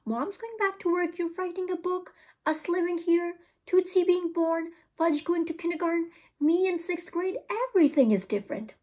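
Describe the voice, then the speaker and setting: A woman's voice: very high voice, normal speed, a little mellow, pitched above the performer's own voice. A woman reading the part of an unsettled, argumentative sixth-grade boy in a storybook, blunt and questioning. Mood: frustrated.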